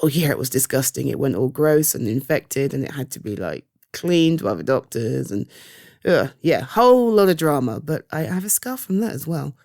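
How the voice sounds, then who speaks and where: A British woman performing an ASMR roleplay as a caring partner-like confidante, speaking softly and hesitantly with reassurance. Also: low voice, sing-song, dry recording, studio quality, heavily acted. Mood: gentle reassurance. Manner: hesitant, soft reassuring, halting pauses. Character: caring, reassuring woman comforting a loved one. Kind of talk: ASMR roleplay, one-sided intimate conversation.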